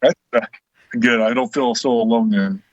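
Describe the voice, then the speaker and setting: A man's voice: medium pitch, fairly even intonation, moderate pace, no echo. A middle-aged man chatting casually as a podcast guest over a lo-fi connection. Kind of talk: casual chat. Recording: dull lo-fi voice connection.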